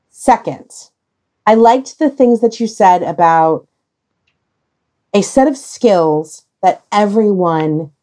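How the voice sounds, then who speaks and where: A woman hosting a podcast discussion in casual talk, with emphatic, deliberate phrasing and pauses. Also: fairly low pitch, a little mellow.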